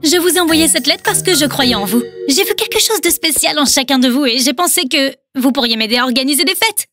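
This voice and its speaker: Brief: bubbly little party witch, very high voice, kids' music behind. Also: animated, fairly quick. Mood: teasing. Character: cheerful little witch woman, party shop owner. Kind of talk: French cartoon dub. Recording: children's music playing behind.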